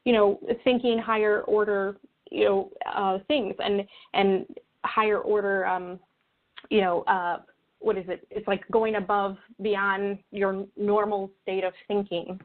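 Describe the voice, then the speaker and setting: A woman with a mid-pitched voice comes through a narrow, phone-like connection. She talks earnestly as an interview guest, in a reflective, halting way, often rewording as she goes. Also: little pitch movement. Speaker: interview guest, spiritual video creator. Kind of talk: earnest conversational interview talk. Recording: tinny phone-like call audio.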